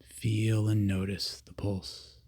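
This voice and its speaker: A man leading a guided meditation, giving calm, simple, repeated instructions with long pauses between them. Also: fairly low pitch, husky, no room sound. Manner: calm, repetitive, unhurried cues with long pauses.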